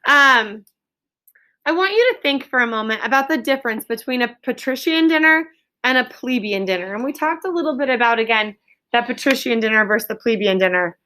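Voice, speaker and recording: High-voiced woman teaching a class. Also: animated, fairly quick, sharp tone. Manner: directive, repeats and confirms answers. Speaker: elementary school teacher. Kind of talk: classroom question-and-answer lesson. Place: classroom with pupils.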